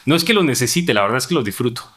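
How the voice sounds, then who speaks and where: A Colombian man speaks as an interview guest at a quick clip. His voice sits at a medium pitch, and he explains his points conversationally, often checking in with little question tags. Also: lively intonation, a bit bright. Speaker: podcast guest, international businessman.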